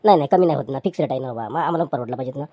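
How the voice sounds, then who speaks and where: A woman's voice: a bass voice, rapid-fire, warm tone, slightly rough, no echo. A woman voicing a meek pleading male chair seller. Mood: placating. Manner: pleading, placating, stammering restarts.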